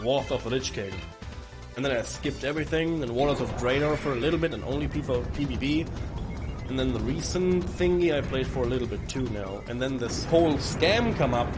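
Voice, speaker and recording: Rough hoarse dude streaming a game, middle pitch, rambling and swearing, quiet game music behind. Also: male voice. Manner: rambling, chatty, swearing, with frequent restarts. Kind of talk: casual chat to stream viewers while playing. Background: faint game music.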